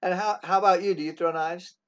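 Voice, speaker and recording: A man in his sixties hosting a casual interview, talking in a halting way with many pauses and restarts. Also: high-pitched, steady tone, clear, resonant tone. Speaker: host. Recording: dull lo-fi sound.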